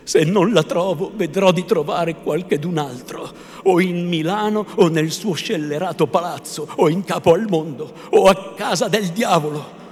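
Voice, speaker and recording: A man voicing a desperate young man who stammers and then vows revenge loudly, recorded in an echoey hall. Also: sits high, normal speed, slight background noise, natural voice. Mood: vengeful fury. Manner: stammering, then vengeful and loud. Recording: echoey hall, faint ambience.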